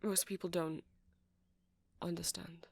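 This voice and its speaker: A man's voice: sits high, flat delivery, slow pace, vocal fry, no echo, exaggerated voice. A man playing a shy, earnest, nerdy vampire in an ASMR roleplay, speaking in a hesitant, rambling, stammering way. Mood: shy, nervous. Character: shy, earnest, nerdy male vampire running a music shop.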